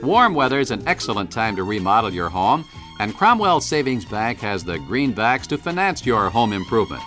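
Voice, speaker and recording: A man announces a scripted radio commercial. His voice is bright and forceful, with lively rises and falls over faint music. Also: on the low side, moderate pace.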